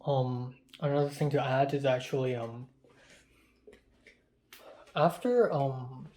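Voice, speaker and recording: A young man with a Hong Kong English accent and a raspy, muffled voice, talking in a rambling, self-deprecating way in a confessional vlog, with many um fillers. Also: medium pitch, slow pace, a little mellow. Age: young adult. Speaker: vlogger.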